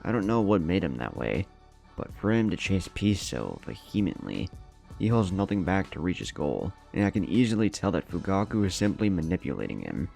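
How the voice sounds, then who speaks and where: Man voicing young male ninja leader, earnest, resolute, low creaky voice, emphatic speeches, storybook dialogue. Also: big pitch swings, fairly quick, dark tone, soft background music, heavily acted. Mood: reflective.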